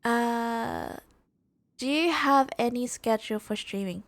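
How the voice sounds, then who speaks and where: Slow-talking, creaky-voiced woman livestreamer. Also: a little high, animated. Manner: halting, repeats and rephrases herself. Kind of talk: casual gaming livestream chat. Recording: roomy, music under the voice.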